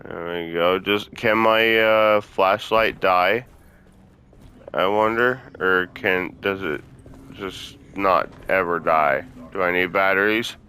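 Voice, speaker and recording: A man hosts a gaming video with a deep, forceful voice. He speaks slowly and informally, chatting straight to viewers as he plays and swearing freely. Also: clear, resonant tone. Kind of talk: casual let's-play commentary.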